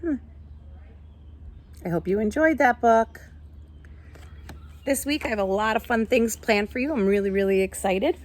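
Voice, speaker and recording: A woman teacher reading a picture book aloud in an animated way, talking to children. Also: on the high side, moderate pace, pauses often. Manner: animated, addressing children with questions.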